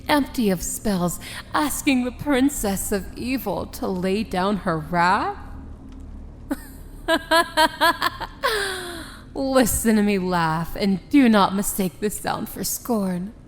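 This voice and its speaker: A woman's voice: medium pitch, animated, slight background noise. A woman playing an imperious, amused princess of evil in a fantasy ASMR roleplay, speaking in a theatrical, sardonic manner. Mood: amused, intrigued. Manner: slow, theatrical, grandly sardonic.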